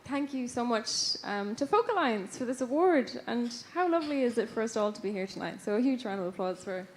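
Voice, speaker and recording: A woman's voice: fairly high pitch, lively intonation, fairly quick, a little hiss or hum. A woman from the west of Ireland with an Irish accent, giving an award acceptance speech on stage at a stage microphone, hesitant, with many fillers and restarts. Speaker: award winner, folk musician. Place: stage of a ballroom.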